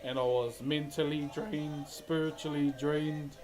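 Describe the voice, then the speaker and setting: A man's voice: fairly high pitch, fairly even intonation, unhurried, noisy background. A man with a New Zealand accent, a wood carver chatting casually with live stream viewers in a laid-back, chuckling, slangy way. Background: background music.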